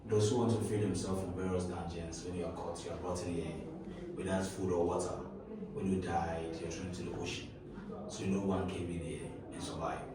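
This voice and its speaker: A man's voice: low-pitched, little pitch movement, brisk. Man guiding a tour in echoey stone rooms. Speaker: tour guide. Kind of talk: guided tour explanation. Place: stone castle rooms and dungeons, indoors. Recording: echoey stone rooms, far from the camera, with noise.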